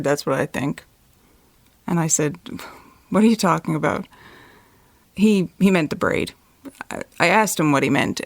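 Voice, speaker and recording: A woman telling her account in a slightly low voice, very close and dry. She goes slowly and haltingly, searching for words, like natural testimony in an audio drama. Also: no room sound. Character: grandchild recounting a night drive, giving testimony.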